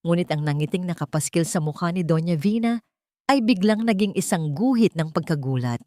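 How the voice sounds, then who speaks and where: A woman's voice: fairly low pitch, lively intonation, brisk, mellow, dry recording, studio quality. A woman storyteller reading scripted fiction in a dramatic narration style.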